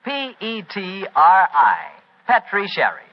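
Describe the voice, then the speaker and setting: An American man announces and pitches in 1940s radio style. His intonation is lively and rises and falls widely. The recording is dull vintage radio. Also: slow pace, over loud music.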